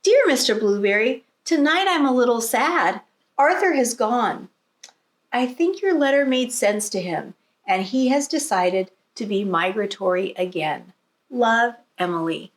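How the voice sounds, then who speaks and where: Eager, earnest little girl for a storybook, played by a woman; high, very animated delivery. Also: measured pace. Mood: a little sad. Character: eager little girl writing about her whale. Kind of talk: storybook read-aloud.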